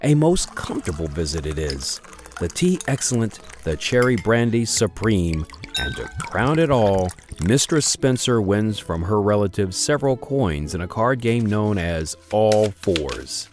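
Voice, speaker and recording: A man's voice: lowish voice, a little mellow. A man narrating in a theatrical, old-fashioned storytelling manner. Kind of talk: scripted narration.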